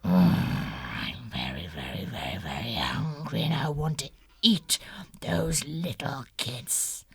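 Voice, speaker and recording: A woman's voice: expressive, gravelly, husky, no room sound, heavily acted. A woman doing a whispering, greedy big bad wolf. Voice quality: low hushed whisper. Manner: drawn-out, greedy and sly. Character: hungry scheming big bad male wolf.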